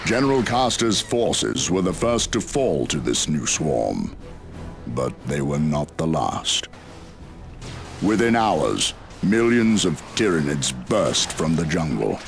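An actor playing a grave war chronicler, narrating a video game in a measured, ominous way. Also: male voice, very deep voice, sing-song, vocal fry, soft background music, exaggerated voice. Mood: grim. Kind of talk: scripted dramatic game narration.

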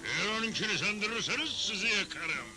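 Blustering Wild West judge, swooping pitch. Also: male voice, lively intonation, quick pace, music in the background. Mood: threatening, combative. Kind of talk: Turkish cartoon dub.

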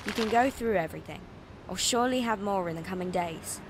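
A woman's voice: medium pitch, fairly quick, background noise, close to an everyday voice. An actress playing an eager, nervous young female reporter in an audio drama, chatty and hesitant. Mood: earnest. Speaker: voice actor.

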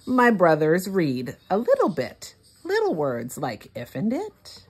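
A woman reading a rhyming picture book aloud for young children, word by word, with deliberate expression. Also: fairly low pitch, husky. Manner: deliberate, word-by-word, expressive delivery for early readers. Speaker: children's read-along host.

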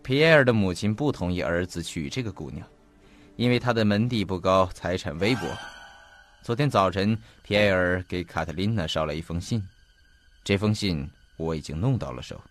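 A man acting in an audio drama as an earnest investigator, speaking in a formal, deliberate and detailed storytelling manner. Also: low voice, lively intonation. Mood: grave, explanatory. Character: earnest male investigator recounting a mysterious disappearance. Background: faint background music.

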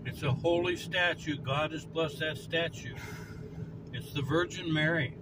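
A man's voice: on the high side, a little slow, distant mic. An older grandfather chatting plainly while driving, giving matter-of-fact advice from the driver's seat. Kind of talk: casual chat while driving. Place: inside a moving car. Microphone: phone held by passenger. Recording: loud road noise, phone a bit off-mic.

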